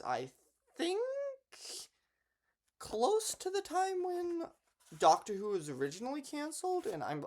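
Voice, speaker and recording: A man's voice: sits high, darkish tone. A young man talking casually and unscripted to camera as a vlogger, with a hesitant delivery full of false starts. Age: young adult. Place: bedroom in a home.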